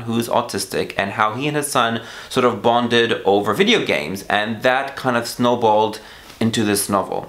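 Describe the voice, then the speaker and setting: A man's voice: on the low side, a bit bright, reverberant. A man who speaks Arabic as a first language, chatting casually to camera about books he bought, enthusiastic and a little hesitant with small hedges. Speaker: book vlogger. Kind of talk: casual book haul chat to camera.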